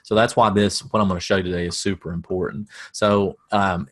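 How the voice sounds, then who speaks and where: A man's voice: low voice, steady tone, fairly quick. A middle-aged man with a Southern American accent, teaching coaches about marketing in a casual, folksy way with self-deprecating jokes.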